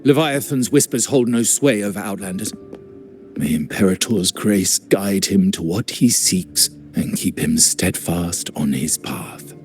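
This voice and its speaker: An earnest devout priest. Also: male voice, low voice, animated, unhurried, a little mellow, soft background music. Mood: solemn. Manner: formal, solemn. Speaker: priest of the Order.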